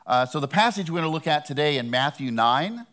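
A man's voice: a little high, expressive, fairly quick, a bit bright. A man giving a sermon as a guest preacher, talking in a conversational, earnest way with touches of humor.

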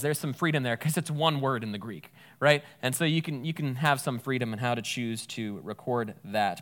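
A man preaches in a relaxed, conversational way. His voice sits at an ordinary middle pitch. He talks plainly while explaining scripture. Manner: conversational, explains Greek words with a folksy aside. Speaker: pastor. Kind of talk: sermon with scripture reading.

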